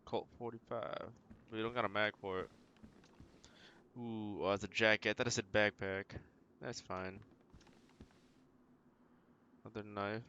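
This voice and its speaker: Male game streamer, lower register, casual think-aloud commentary, noisy game ambience behind the voice. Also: steady tone, a little slow, pauses often, pushed and bright, muffled recording. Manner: talks through decisions as if thinking aloud. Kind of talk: casual live gameplay commentary.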